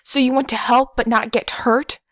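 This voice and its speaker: A woman voicing an earnest, insistent young boy in an audio drama. The voice sits high and sounds close and dry, with a pressing manner. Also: flat delivery, normal speed, dry recording, natural voice. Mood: encouraging.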